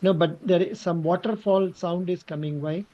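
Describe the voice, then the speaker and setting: A man's voice: high voice, steady tone, moderate pace, dark tone. Indian guy coaching a mock interview, direct and instructive, muffled lo-fi audio. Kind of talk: mock interview questioning and advice.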